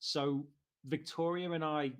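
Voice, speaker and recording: A man's voice: on the high side, fairly even intonation, unhurried, clean tone. A man in his thirties with a Liverpool accent, chatting as a podcast guest in a rambling way with many fillers. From Liverpool, England. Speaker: interview guest. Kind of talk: casual interview chat.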